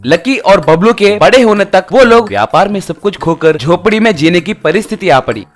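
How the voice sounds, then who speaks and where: A man's voice: high-pitched, animated, normal speed, soft background music. A man narrating a scripted, children's-style story.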